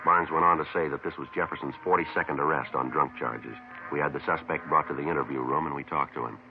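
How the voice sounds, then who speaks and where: Very deep, deadpan radio detective. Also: male voice, fast talker, over music. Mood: deadpan, procedural. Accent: American. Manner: deadpan, clipped, businesslike. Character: terse, unflappable male police detective narrating his case. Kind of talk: 1950s radio drama narration and dialogue. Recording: tinny, noisy 1950s radio recording.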